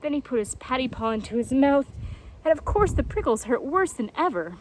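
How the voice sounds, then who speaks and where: Casting: female storyteller for children's tales, high voice, very expressive melody, noticeable ambient noise behind. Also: brisk, poor audio.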